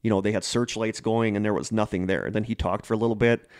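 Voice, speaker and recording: A man's voice: lowish voice, fairly even intonation, quick pace, darkish tone, no room sound, studio quality. A middle-aged man co-hosting a podcast, telling stories casually in an enthusiastic, rambling way. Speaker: podcast co-host. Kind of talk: casual conversational storytelling.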